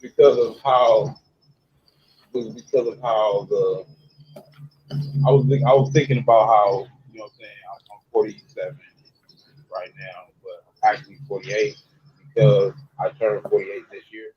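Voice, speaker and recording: Guy in his 40s, lowish voice, stops and restarts a lot, muffled lo-fi recording with a bit of hiss. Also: fairly even intonation. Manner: halting, many fillers and restarts. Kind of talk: casual chat. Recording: dull lo-fi sound with faint noise.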